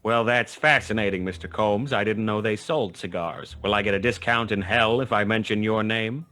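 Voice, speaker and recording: An actor playing a wry, hard-boiled private detective, narrating a noir radio drama in a dry, sardonic way. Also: male voice, fairly low pitch, moderate pace, sharp tone. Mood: sardonic needling.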